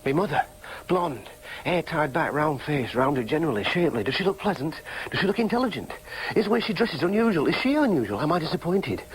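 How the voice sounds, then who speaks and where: A confused earnest young working lad in a radio play, stammery, voice a bit high and jumpy. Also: male voice, very animated, a little fast, a little hiss or hum, rough recording. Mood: pensive, questioning. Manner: hesitant, stammering, plain-spoken. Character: earnest, bewildered working-class young man seeking his parents. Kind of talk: radio drama acting.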